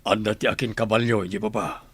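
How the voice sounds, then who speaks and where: A man with an Ilocano accent and a husky voice playing a confident, scheming village father in a radio comedy drama. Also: on the low side, steady tone, fast talker, no room sound. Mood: assured, planning.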